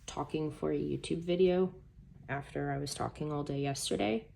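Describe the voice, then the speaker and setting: A woman with a hoarse, gravelly voice chatting casually at her desk about her planners, rambling through self-deprecating asides. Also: low-pitched, fairly even intonation, normal speed, mellow, slight background noise. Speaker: planner YouTuber. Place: home desk by a sunny window.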